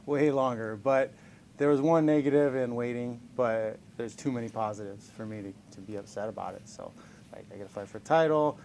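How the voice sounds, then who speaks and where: A man's voice: mid-range voice, fairly quick, darkish tone, a little hiss or hum. A man in his forties answering reporters' questions in a casual, upbeat way, often chuckling and joking about himself. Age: 40s. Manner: upbeat, chuckling, self-deprecating. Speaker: professional fighter being interviewed. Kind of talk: casual press scrum answers.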